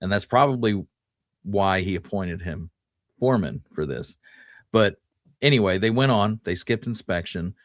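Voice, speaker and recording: Male podcast host, slightly low voice, folksy and conversational history storytelling, very dry sound. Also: expressive, normal speed, dry recording. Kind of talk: casual storytelling, Wild West history talk.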